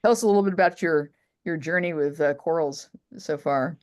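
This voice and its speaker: Low voiced lady cohost on a video call, short questions, dry sound. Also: fairly quick, darkish tone, dry recording. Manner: brief friendly interjections and questions. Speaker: co-host. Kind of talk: casual interview over video call.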